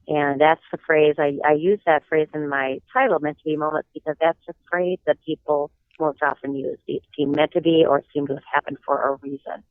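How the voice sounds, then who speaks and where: A woman in her sixties with a slight cold-hoarseness, telling personal stories in an earnest, reflective way as an interview guest. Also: deep voice, no room sound. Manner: earnest, reflective, with some hesitant fillers. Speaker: guest author. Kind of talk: interview storytelling. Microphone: telephone. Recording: tinny phone line.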